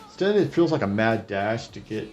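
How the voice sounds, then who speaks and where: A man's voice: lowish voice, sing-song, moderate pace, highs cut off, soft background music. A man narrating a casual anime retrospective as its host, with an irreverent, sarcastic delivery and profane asides. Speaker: anime reviewer and video host. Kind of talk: casual scripted retrospective narration over clips.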